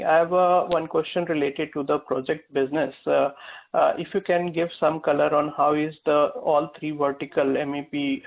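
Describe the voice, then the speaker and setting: A man's voice: on the high side, vocal fry. A man speaking as an analyst, asking a question on an earnings conference call. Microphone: phone. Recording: narrow phone line.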